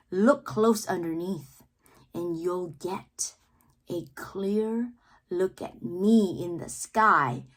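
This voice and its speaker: A woman reading children's poetry aloud with theatrical, deliberate phrasing and playful emphasis, adding asides as the reader. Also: mid-range voice. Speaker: read-aloud host, teacher-like reader.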